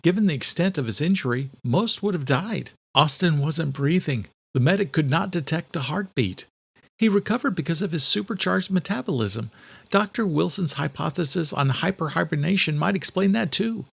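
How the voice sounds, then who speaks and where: A confident, sharp-minded woman, as a male narrator puts her on in an audiobook. The pitch is lifted, and the delivery is emphatic and insistent. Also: animated, normal speed, vocal fry, slightly rough, exaggerated voice.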